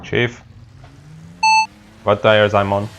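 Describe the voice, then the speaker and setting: A man with a Hungarian accent who hosts a casual racing game demo and calls out loud, clipped commands to a voice app. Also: on the low side, flat delivery, very slow, lo-fi sound, very noisy. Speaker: sim racing video host.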